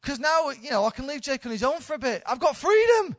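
A woman's voice: high voice, big pitch swings, fairly quick, dry recording, studio quality. A woman in her thirties, a church leader, giving an informal, candid sermon with self-deprecating humour. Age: 30s.

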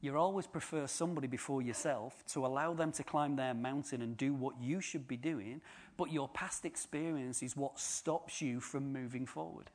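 A man's voice: mid-range voice, normal speed, a little mellow. A man giving an informal sermon, chatty and joking with the congregation. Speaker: preacher.

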